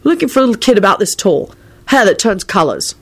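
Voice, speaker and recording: A woman's voice: medium pitch, very animated, fast, husky. Woman playing a brash, impatient young man. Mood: cool, firm. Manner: barking, exasperated.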